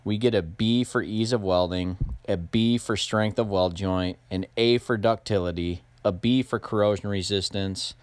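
Male tutorial narrator, lowish pitch, plain-spoken and casual, close dry voice-over. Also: moderate pace, hardly any pauses, clean tone, no echo. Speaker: instructional narrator.

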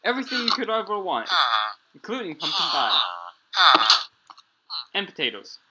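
A man's voice: sits high, very animated, moderate pace. Man improvising game roleplay, very noisy recording. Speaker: player in a Minecraft roleplay series. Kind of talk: improvised in-character gameplay roleplay narration. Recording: clearly noisy amateur game recording with clicks.

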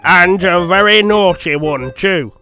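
A man's voice: high-pitched, sharp tone, faint music behind. A man voicing a stern, portly railway controller with an authoritative manner in a children's story. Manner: authoritative, deliberate.

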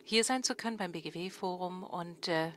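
A woman's voice: on the low side, normal speed, a little hiss or hum. A woman in her thirties from Germany, moderating a panel and presenting a research project on a large conference stage with a lectern microphone, explaining steadily with a few fillers and self-corrections.

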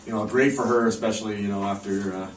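A North American man speaks as a coach at a press conference, in a low, level voice. He is measured and understated, pausing as he goes. The mic sits back from him in a noisy room. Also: fairly even intonation. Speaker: head ice hockey coach. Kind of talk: post-game press conference answers. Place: indoor press room in front of a backdrop. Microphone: camera microphone at a distance. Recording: roomy, distant mic, clear background noise.

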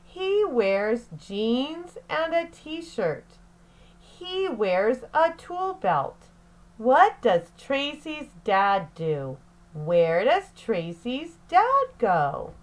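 A woman teacher reading aloud to young children in a deliberate, phrase-by-phrase way, as in an expressive picture-book lesson. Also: on the high side.

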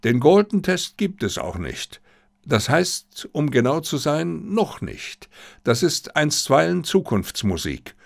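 Audiobook detective played by a male narrator: confident, unruffled, playful, lively pitch swings, gravelly edge. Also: slow, full character voice. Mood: knowing. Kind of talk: audiobook character dialogue.

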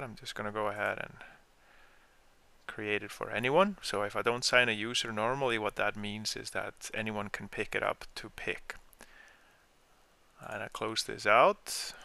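A man explaining software step by step in an informal screen-share tutorial, as the trainer. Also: on the low side, gravelly, dry recording.

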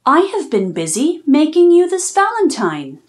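A woman's voice: high-pitched, wildly swooping pitch, unhurried, voice pushed up, exaggerated voice. A woman voicing an apologetic, affectionate male viper in a children's storybook, with earnest delivery.

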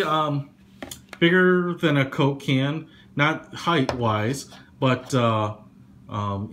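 A man's voice: medium pitch, fairly bright tone, a little hiss or hum. A young man giving a casual, rambling unboxing review indoors, with lots of fillers and slangy asides. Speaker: product reviewer. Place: small indoor room.

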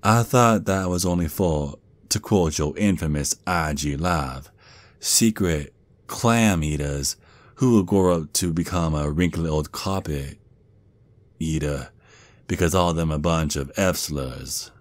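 A man's voice: very deep voice, takes it slowly, no echo. A man playing a menacing, mocking, protective werewolf father with a Southern US accent, drawling and taunting in an ASMR roleplay. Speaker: audio roleplay performer.

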